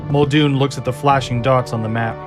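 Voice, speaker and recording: A man's voice: medium pitch, steady tone, fairly quick, music in the background. A man narrating an audio drama in a scripted, dramatic storytelling style.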